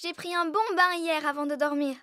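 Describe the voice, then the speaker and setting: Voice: extremely high pitch, fairly even intonation, moderate pace, bright, forceful tone, no room sound, studio quality. A performer voicing an indignant young girl sheep in a children's cartoon, with childlike protesting delivery.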